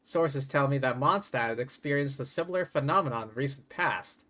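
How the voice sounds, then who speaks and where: A man's voice: medium pitch, moderate pace, pushed and bright. A man livestreaming a game, reading dialogue aloud and adding playful, wry, casual asides. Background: game music.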